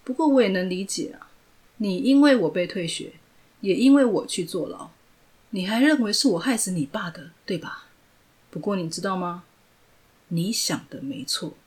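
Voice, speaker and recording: A woman voicing a cruel, mocking male villain who taunts slowly. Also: mid-range voice, expressive, a little mellow, close to an everyday voice. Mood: taunting. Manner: slow, taunting, gloating delivery.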